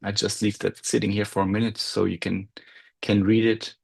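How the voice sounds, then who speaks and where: A man's voice: on the low side, flat delivery, normal speed, creaky, slightly rough. A man presenting university admission steps over a video call, explaining carefully and checking in with listeners. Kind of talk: online info session presentation.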